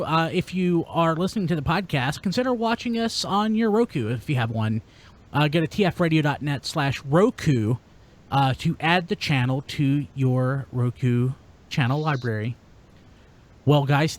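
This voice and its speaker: A man hosting a podcast in a chatty, informal way, talking casually to listeners and co-hosts. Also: medium pitch. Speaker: podcast host. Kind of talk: casual podcast talk, sign-off plugs.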